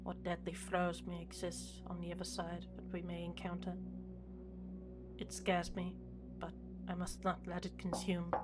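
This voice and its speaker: Casting: woman as a dutiful, anxious starship prefect, lowish and very even in tone, formal audio-drama delivery. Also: over loud music, natural voice. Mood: uneasy. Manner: formal, measured, official. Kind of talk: scripted sci-fi audio drama log.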